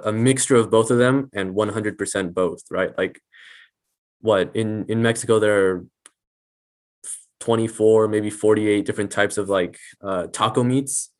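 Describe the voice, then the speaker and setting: A man's voice: lowish voice, moderate pace. A teenage boy, a student on a panel, speaking casually with hesitant stutters and restarts. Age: teens. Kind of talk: casual discussion.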